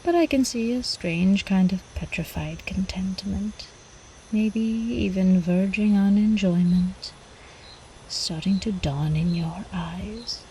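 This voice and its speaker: A woman voicing an ancient, seductive vampire countess in an intimate ASMR roleplay, teasing and cooing with quiet menace, often slipping into whispers. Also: mid-range voice, takes it slowly, a little hiss or hum, lightly acted, low-quality recording. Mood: doting, teasing.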